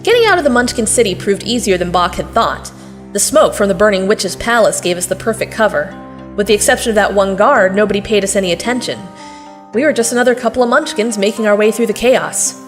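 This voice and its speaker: A woman's voice: mid-range voice, animated, fast talker, soft background music, lightly acted. A woman playing a plucky, wry young girl who narrates and acts in a fantasy audio drama. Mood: wry narrating. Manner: wry, colloquial, sardonic.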